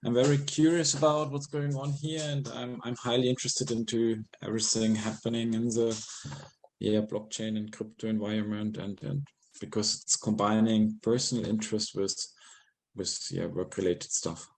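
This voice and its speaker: A man's voice: on the low side, level tone, normal speed. German man, faintly noisy call. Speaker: newcomer at an online meeting. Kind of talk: casual self-introduction.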